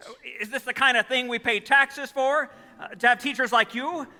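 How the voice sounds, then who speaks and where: An actor playing a frantic young family man in a radio play, with a stammering, excitable delivery. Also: extremely high pitch, moderate pace, full character voice. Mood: angry. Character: frantic, hot-tempered young family man at breaking point. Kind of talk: 1940s radio play acting. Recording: reverberant hall, live stage taping.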